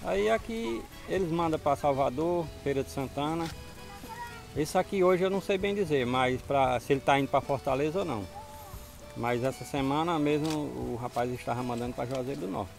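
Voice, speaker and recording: Northeastern Brazilian man with creaky voice. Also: on the high side, moderate pace. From Ceará, northeastern Brazil. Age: middle-aged. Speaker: interviewee, small farmer. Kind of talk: casual outdoor interview. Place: outdoors on a farm. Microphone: handheld mic with furry windscreen. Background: music.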